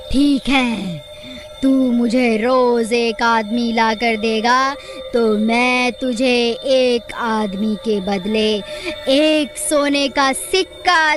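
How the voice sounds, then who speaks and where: A high-pitched, bloodthirsty forest witch played by a woman for a horror cartoon. She drags out her words very slowly, menacing and commanding, over background music. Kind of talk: Hindi cartoon horror acting.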